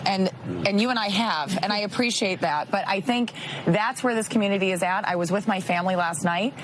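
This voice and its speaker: A woman's voice: mid-range voice, fairly quick, noisy background. A woman giving an unscripted, conversational live report as a broadcast reporter.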